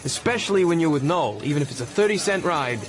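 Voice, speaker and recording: An actor playing a frank, worldly showbiz mentor with an American accent in a radio drama. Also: male voice, a little high, expressive, quick pace, lightly acted. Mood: streetwise, advising. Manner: frank, streetwise, advising. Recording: busy crowd noise behind.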